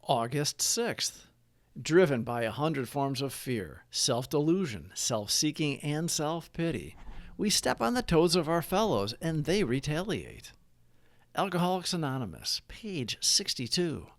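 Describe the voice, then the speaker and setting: Man reading reflections, lively pitch, dry sound. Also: measured pace, no room sound. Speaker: narrator of daily recovery readings. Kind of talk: scripted read-along narration of devotional reflections and prayers.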